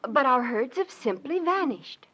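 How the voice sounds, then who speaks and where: A woman's voice: high voice, big pitch swings, measured pace, a bit bright, heavily acted. An actress playing an anxious homesteader wife and mother in a 1940s radio western. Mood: worried. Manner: anxious, earnest. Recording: dull old radio recording.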